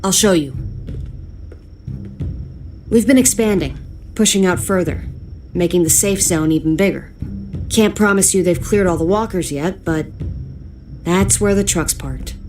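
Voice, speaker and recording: A tough, sardonic female survivor played by a game actress, plain-spoken and dry. Her voice is fairly low and matter-of-fact, with faint music underneath. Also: unhurried, long pauses, darkish tone, close to an everyday voice. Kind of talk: video game voice acting.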